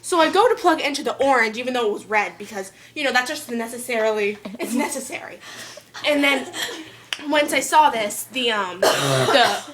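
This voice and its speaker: A woman's voice: a little high, very animated, normal speed, background noise. A young woman making a prank phone call from her bedroom, rambling nonsense with made-up technical words into a phone on speaker. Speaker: prank caller. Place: bedroom, indoors. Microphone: phone on speaker held in hand.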